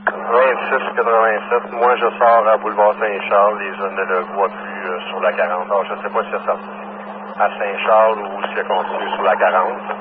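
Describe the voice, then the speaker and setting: A man's voice: fairly low pitch, level tone, moderate pace. A man with a Quebec French accent, a police officer reporting informally over police radio from a patrol car. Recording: tinny, noisy police scanner radio.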